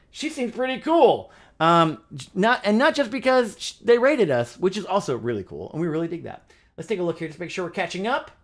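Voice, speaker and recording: Chatty upbeat man hosting a livestream. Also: a little high. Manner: upbeat, chatty, frequent filler words. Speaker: livestream pianist host. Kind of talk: casual livestream chat.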